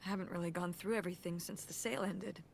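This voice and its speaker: An actress playing a weary, gentle middle-aged mother in a naturalistic video game style. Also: on the low side, steady tone, fast talker, vocal fry, slight background noise. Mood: weary, sad.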